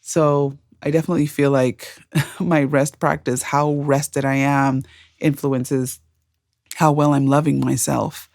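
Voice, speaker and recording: Calm reflective woman from Zimbabwe. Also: rumbling low, slow, dry recording, clean studio sound. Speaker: podcast co-host. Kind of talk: reflective conversational storytelling.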